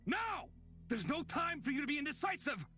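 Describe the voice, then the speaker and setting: Brash, wildly animated group leader. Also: male voice, very high voice, normal speed, faint music behind, full character voice. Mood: urgent. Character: cocky, jokey adult male leader of a travelling group. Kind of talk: visual novel voice acting.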